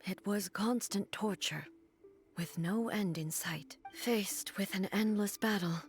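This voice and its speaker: Solemn, regal goddess ruler. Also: female voice, medium pitch. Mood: grave. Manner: measured, formal, grave delivery. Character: solemn, regal female deity ruler, resolute and formal. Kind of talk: scripted video game dialogue acting. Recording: game music under the voice. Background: game background music.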